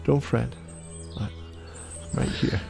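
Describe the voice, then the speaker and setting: A man voicing a gentle, fatherly older man in an audio drama, soft, soothing and hesitant. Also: slow, lightly acted. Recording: music behind the voice.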